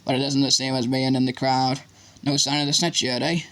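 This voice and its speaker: A man's voice: medium pitch, flat delivery, brisk, fairly bright tone, natural voice. A man voicing a big, kindly, rustic gamekeeper with folksy, unpolished speech in a storybook. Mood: friendly.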